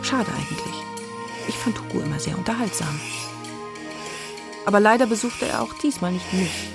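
A woman narrating a children's radio drama in a wry, expressive storytelling style. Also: mid-range voice, moderate pace, gravelly. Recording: music behind the narration.

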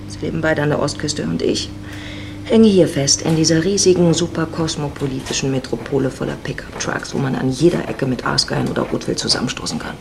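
A woman's voice: deep voice, steady tone, fairly quick, vocal fry, noisy background. An actress playing a worldly, flirtatious married woman in her forties, sardonic and self-assured, in a radio drama. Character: worldly, teasing 45-year-old married Mexican woman.

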